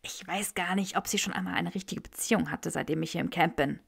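A woman voicing a chatty, excitable young male rebel in a German audiobook reading of fan fiction. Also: on the low side, quick pace, creaky, slightly rough, full character voice. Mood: eager gossiping. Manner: excitable, blurting.

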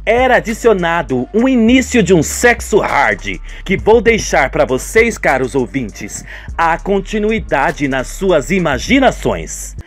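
A man's voice: on the high side, very animated, unhurried. Narrator for radio soap: Brazilian male, playful and dramatic, swooping intonation over a music bed. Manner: playful storyteller addressing listeners.

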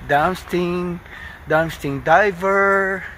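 A man's voice: high voice, extremely slow, poor audio. A middle-aged Filipino man filming himself on a phone at arm's length, chatting cheerfully in a mix of Tagalog and English while walking a city street at night. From Philippines. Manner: animated, switches between Tagalog and English, loud cheery greetings. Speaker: vlogger, overseas worker. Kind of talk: casual walking vlog. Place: city street at night, then a convenience store. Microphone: phone held at arm's length. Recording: loud street traffic noise.

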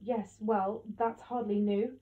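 A woman's voice: mid-range voice, steady tone, slow, narrow, tinny audio, close to an everyday voice. A woman voicing a wronged mother in an audiobook, angry, hurt and sharply accusing. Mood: bitter.

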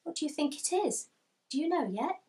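A woman in her thirties reading a picture book aloud to children, expressive and deliberate, as a storytime host. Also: high-pitched. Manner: expressive, deliberate, asks listeners questions. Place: small furnished room indoors with bookshelves.

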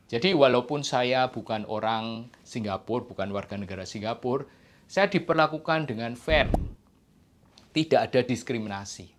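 Measured middle-aged man. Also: mid-range voice, a bit bright. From Indonesia. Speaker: doctor, medical specialist presenting to camera. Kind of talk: explanatory opinion talk to camera. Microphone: clip-on lapel mic.

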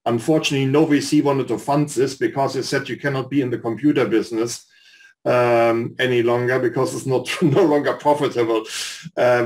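A man in his fifties, an investor on a video call, giving direct and skeptical feedback on a pitch in a lecturing way. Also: slight background noise. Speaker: investor panelist. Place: home office on video call. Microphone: video call webcam.

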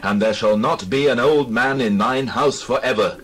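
An actor playing a stern holy prophet, solemn and declamatory, pronouncing God's judgment in a dramatized Bible reading. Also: male voice, mid-range voice, normal speed, a bit bright, a little hiss or hum, lightly acted.

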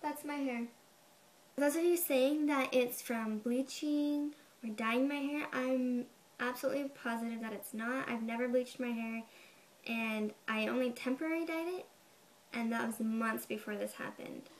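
A young woman vlogging to her webcam from her bedroom, with a high, girlish voice, chatty and a bit defensive, saying "like" a lot. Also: measured pace. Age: young adult. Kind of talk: casual to-camera explanation. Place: bedroom, indoors. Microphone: webcam at desk.

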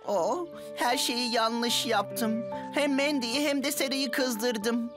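Excitable, high-voiced mischievous boy. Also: slow pace. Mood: dismayed. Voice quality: boyish. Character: well-meaning, mischievous young boy, apologetic and excitable. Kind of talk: cartoon dub acting. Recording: loud music behind the voice.

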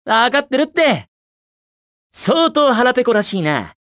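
A man's voice: very high voice, sing-song, unhurried, a bit bright, dry recording, studio quality, full character voice. A man voicing a cocky, carefree young hedgehog speedster hero in a video game, with a cool, brash, quippy delivery. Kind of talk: Japanese video game character voice lines.

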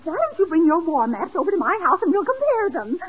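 Very shrill, coy man-chaser. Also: sing-song, fast talker. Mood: flirtatious, coaxing. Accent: American. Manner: coy, hesitant wheedling. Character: man-chasing, gushing, flirtatious single woman. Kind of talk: 1940s radio comedy acting. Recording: muffled, noisy vintage 1940s radio broadcast.

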